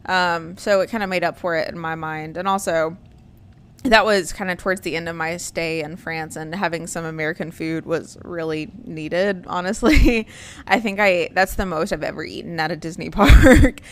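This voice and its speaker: A woman's voice: lowish voice, normal speed, clean tone. A woman, hosting a casual chat and rambling with lots of ums and likes.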